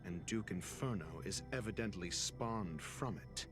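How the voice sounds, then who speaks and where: An actor playing a composed detective in a video game cutscene, articulate and deliberate as he reasons out a mystery. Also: low-pitched. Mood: deductive, measured. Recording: music behind the voice. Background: background music.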